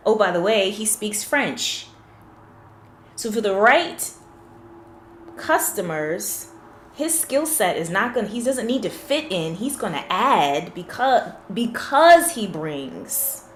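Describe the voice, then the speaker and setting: A woman's voice: medium pitch, reverberant, slight background noise. A woman confidence coach in a one-on-one session, explaining with examples and asking probing questions.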